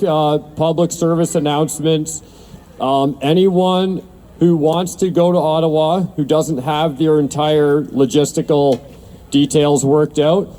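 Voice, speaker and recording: A man's voice: fairly high pitch, dark tone, slight background noise. A man hosting an open mic, giving rambling, appreciative, informal announcements to a gathering. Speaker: event host at an open mic.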